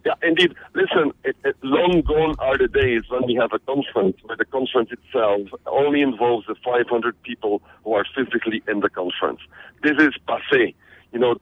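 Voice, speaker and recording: A man's voice: medium pitch, no echo. A man from Belgium, a guest in a radio interview, talking energetically and often repeating words as he starts a sentence. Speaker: interview guest, conference organizer. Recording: tinny phone-like radio line.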